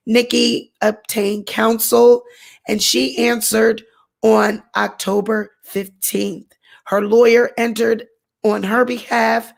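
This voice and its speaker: Hoarse woman vlogger, slow gravelly delivery. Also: mid-range voice. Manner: chatty, frequent "you know" and "um" fillers. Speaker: channel host. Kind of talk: casual commentary vlog.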